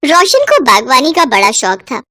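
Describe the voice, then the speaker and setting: A woman narrating a children's moral cartoon story in a steady, clear way. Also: sits high, normal speed, no room sound, clean studio sound.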